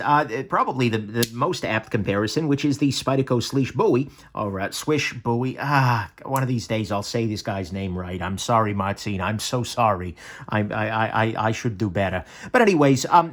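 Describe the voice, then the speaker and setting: A man hosting a casual knife review, chatty and self-correcting, with frequent ums and stammered restarts. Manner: lively, swinging pitch between upbeat and low asides. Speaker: knife reviewer, channel host. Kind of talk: casual product review to camera.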